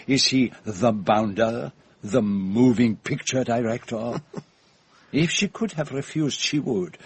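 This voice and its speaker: An actor playing a shrewd, courteous and pompous little Belgian detective in a radio drama, with a French-speaking Belgian accent and precise, theatrical phrasing. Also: male voice, mid-range voice, slow pace, breathy edge, no room sound. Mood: confiding. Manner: precise, formal, theatrical, teasing.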